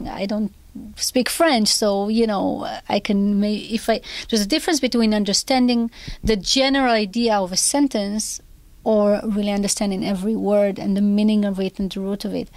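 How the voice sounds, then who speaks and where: A woman's voice: mid-range voice, darkish tone, dry recording. Israeli-born woman composer, dry sound. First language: Hebrew. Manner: thoughtful, searching for words with many fillers. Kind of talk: interview answers.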